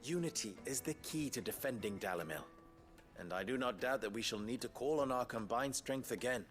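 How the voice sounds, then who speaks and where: An actor playing an upright, wry town leader in a fantasy game, speaking in formal, eloquent dialogue. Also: male voice, mid-range voice, fairly quick, fairly bright tone, faint music behind, close to an everyday voice. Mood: firm, measured. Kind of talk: dramatic video game dialogue.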